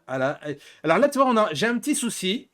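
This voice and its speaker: A man's voice: high-pitched, sing-song, normal speed, fairly bright tone. A middle-aged man hosting a casual group chat, rambling and often backtracking on his words. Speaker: host of an anime talk show.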